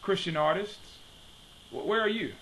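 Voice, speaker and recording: A man's voice: high voice, animated, a little slow, fairly bright tone, a little hiss or hum, poor audio. Emphatic man preaching to a webcam. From Detroit, USA. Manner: emphatic, repeats questions. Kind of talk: preaching video commentary. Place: home study with bookshelves. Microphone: webcam at desk.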